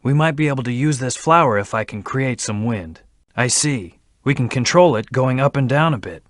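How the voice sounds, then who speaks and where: A man's voice: mid-range voice, lively intonation, normal speed, dry recording, close to an everyday voice. A man voicing a brooding, terse hedgehog antihero in a video game, with a serious, clipped, commanding delivery. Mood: brooding, measured. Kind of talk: video game character voice lines.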